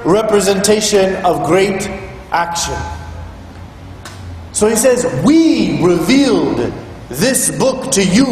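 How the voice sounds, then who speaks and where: A man's voice: sits high, slight background noise. A man giving an earnest, explanatory sermon in a rented school hall used as a mosque, at times chanting scripture. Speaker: imam giving a Friday sermon. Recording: very echoey hall, faint ambience.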